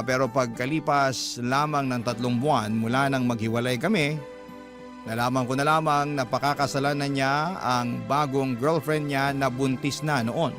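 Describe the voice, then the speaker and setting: A man narrating a listener's letter for a radio drama with a swooping, emphatic delivery. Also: mid-range voice. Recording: loud music bed behind voice. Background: music.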